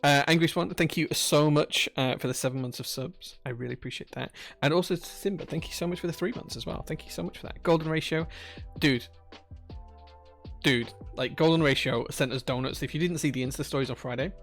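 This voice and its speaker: Gravelly Yorkshire man chatting on stream. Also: fairly high pitch, a little fast, soft background music. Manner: chatty, jokey, rambling with fillers. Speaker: streamer building keyboards. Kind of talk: casual live-stream chat, reading viewer messages.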